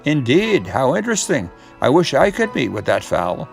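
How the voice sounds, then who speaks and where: A man voicing a sly gentleman fox from a storybook, speaking in a formal, genteel, over-polite manner. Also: mid-range voice, wildly swooping pitch, normal speed, creaky, faint music behind. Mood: suave, obliging. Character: sly, courteous gentleman fox with oily charm. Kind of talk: storybook character reading.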